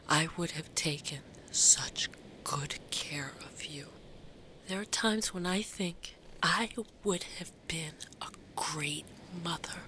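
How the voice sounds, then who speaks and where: A raw, grieving mother, slowly confessing. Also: low voice, background noise. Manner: raw, confessional, swearing, swinging from hushed to intense. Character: grieving, self-blaming bereaved mother, fiercely determined. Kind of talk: dramatic monologue in an audio play.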